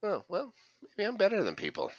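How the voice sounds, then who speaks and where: A man's voice: high-pitched, huge pitch swings, brisk, dry recording. A middle-aged man co-hosting a show from home over a headset, joking sarcastically and swearing in casual banter. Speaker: podcast co-host. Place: home room. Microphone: headset on video call. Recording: dull lo-fi dry call audio.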